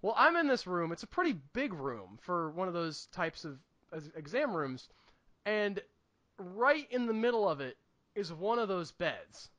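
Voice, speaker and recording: A man co-hosting a podcast in casual comedic chat, telling stories in an emphatic, animated way with repetition for effect. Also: high-pitched, unhurried. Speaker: podcast co-host.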